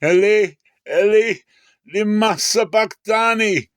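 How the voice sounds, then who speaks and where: A man voicing a dying man who cries out to God in loud anguish, in a dramatic scripture reading. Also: high-pitched, takes it slowly, clear, resonant tone, dry recording, studio quality, pitched above the performer's own voice.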